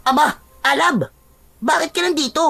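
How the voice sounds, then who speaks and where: A woman's voice: mid-range voice, moderate pace, no room sound, close to an everyday voice. A woman voicing an earnest, secretive young boy in a dramatized story. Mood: alarmed, warning. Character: young boy, secretive, earnest friend who warns of curses.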